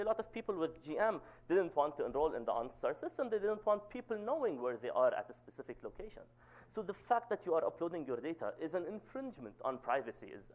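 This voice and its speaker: A man in his thirties with a loud voice, giving an academic seminar talk and often checking in with "okay?". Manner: says "okay?" to check in, lively pitch. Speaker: guest lecturer.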